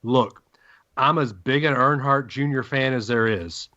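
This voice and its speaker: A man's voice: medium pitch, measured pace, bright, forceful tone. Opinionated male host, dull lo-fi sound. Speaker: sports podcast host. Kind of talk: casual sports banter. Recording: dull, lo-fi audio.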